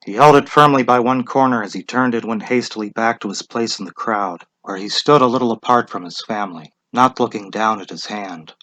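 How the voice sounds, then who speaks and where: A man narrating a short story in a measured, expressive, suspenseful dramatic reading style. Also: fairly low pitch.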